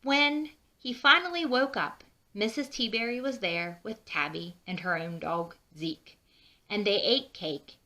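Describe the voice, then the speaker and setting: Bright-voiced woman reading a storybook aloud. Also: mid-range voice. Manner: expressive, drawn-out emphasis on key words. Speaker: children's book read-aloud narrator. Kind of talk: storybook read-aloud with chatty asides.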